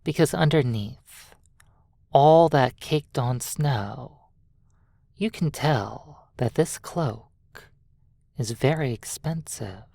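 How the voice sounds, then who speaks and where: A narrator telling a second-person hypnosis story in a measured, halting way, in short phrases with long pauses. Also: mid-range voice, vocal fry, dark tone, dry recording, studio quality.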